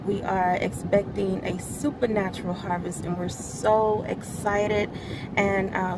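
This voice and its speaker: An earnest woman with a mid-range voice gives a short devotional teaching and leans on each key word as she builds. She was recorded outdoors, with clear street and vehicle noise behind her. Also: mid-range voice. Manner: earnest, stresses key words and grows louder as she explains scripture; laughs lightly between remarks. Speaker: church media ministry overseer.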